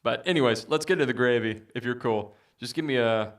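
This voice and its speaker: A man with a baritone voice chatting casually with viewers as a musician streamer, rambling with many fillers. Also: a little fast, distant mic. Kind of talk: casual livestream chat.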